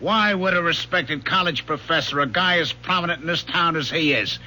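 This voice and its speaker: An American actor playing a gruff, sarcastic police lieutenant in an old radio drama, commanding and blustering. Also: male voice, a little high, brisk, sharp tone, rough recording. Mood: sarcastic, threatening.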